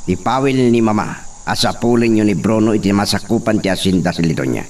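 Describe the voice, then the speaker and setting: A man's voice: fairly low pitch, fairly quick, darkish tone, natural voice. A man playing an arrogant, hot-tempered young master who orders people about in a radio drama. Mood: scheming, bossy. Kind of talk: Ilocano radio drama acting. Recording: faint background noise.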